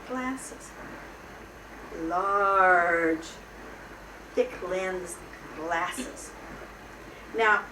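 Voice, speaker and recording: A woman reading a children's story aloud in an expressive storyteller's style, with theatrical pauses. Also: medium pitch. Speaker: storybook reader. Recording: roomy, distant mic, noisy background.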